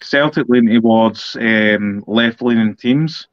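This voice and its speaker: A man's voice: medium pitch, slow pace, no room sound. A man with a Scottish accent in a casual political discussion, talking bluntly and emphatically and repeating points for effect. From Scotland. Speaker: political podcast co-host. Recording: dull, dry lo-fi audio.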